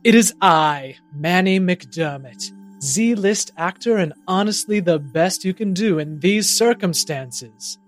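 A man's voice: high-pitched, animated, slow pace, soft background music. A man playing a hesitant, self-important small-town Z-list actor in a comedy audio drama, often stammering. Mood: grandly theatrical. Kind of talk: improvised-sounding comedic audio drama dialogue.

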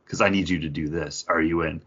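A man's voice: rumbling low, fairly even intonation, brisk, a bit bright. A man co-hosting a comic book podcast in casual, conversational talk. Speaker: podcast co-host reviewing comic books. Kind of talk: casual chat. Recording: dull, band-limited audio.

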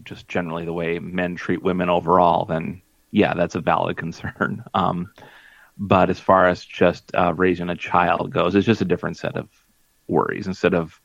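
A man's voice: low voice, gravelly, fairly bright tone, no room sound. A man in his forties chatting casually as a podcast co-host, rambling and self-deprecating with lots of fillers. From Minnesota. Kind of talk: casual chat. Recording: dull lo-fi dry audio.

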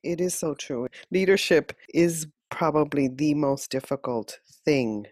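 A woman's voice: low voice, unhurried, darkish tone, no room sound. A woman hosting an interview, asking questions and giving short affirming replies. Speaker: host.

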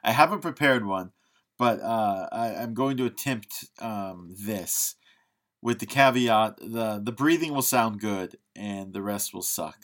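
A man's voice: on the low side, a little slow, a bit bright. A man co-hosting a podcast in a casual, conversational style. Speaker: podcast co-host. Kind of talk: casual chat.

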